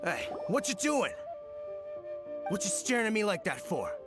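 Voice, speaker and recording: A man's voice: sits high, sing-song, normal speed, over music. A man voicing a shady, brusque, defensive loiterer in a video game. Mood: defensive, hostile. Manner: brusque, slangy.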